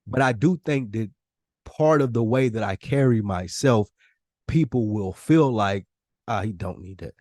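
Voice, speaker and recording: A man's voice: fairly low pitch, unhurried, darkish tone, no echo, clean studio sound. An American man co-hosting a podcast, talking candidly and reflectively about himself in casual conversation. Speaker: podcast co-host.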